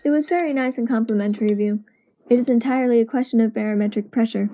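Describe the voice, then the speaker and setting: A woman playing a brisk, self-assured male detective in an amateur audio drama, speaking formally and analytically. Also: a little high, warm tone, natural voice. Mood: dry, unhurried.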